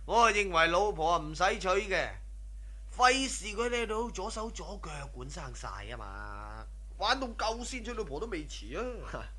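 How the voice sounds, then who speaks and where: A cocky, womanizing smuggler in a radio drama. His bright, forceful voice sits slightly high and moves around with lively swings. He is persuasive and full of worldly swagger. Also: normal speed, heavily acted. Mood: cocky, carefree. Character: swaggering, scheming drug-running cousin, a carefree womanizing man. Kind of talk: Cantonese radio drama acting.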